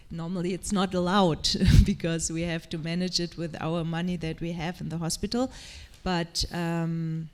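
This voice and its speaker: Hesitant woman doctor, low voice. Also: darkish tone. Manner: hesitant, searching for English words. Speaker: physician and lecture panelist. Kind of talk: panel discussion.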